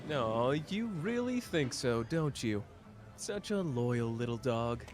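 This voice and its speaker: A man's voice: medium pitch, sing-song, takes it slowly, background noise. An actor playing a smug, teasing young man who mocks his rival with a sing-song manner in an audio roleplay. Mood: mocking. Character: smug teasing young male mafia-hunter, playful and manipulative. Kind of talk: ASMR roleplay acting.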